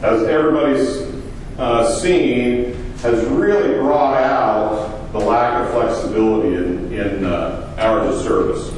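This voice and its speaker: Man with a big voice, plainspoken presenter with lots of fillers. Booming reverberant hall, miked from a distance. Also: takes it slowly, darkish tone, a little hiss or hum. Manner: plainspoken, loose, with many fillers. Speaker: trucking company owner giving a talk. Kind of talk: conference presentation. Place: large echoey conference room.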